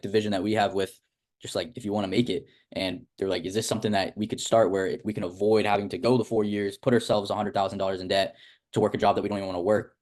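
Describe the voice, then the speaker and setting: A young man hosts a casual interview. His voice sits a little low and he talks very quickly, rambling informally and leaning on filler words as he goes. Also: a little mellow. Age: young adult. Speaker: host interviewing a student of a business academy.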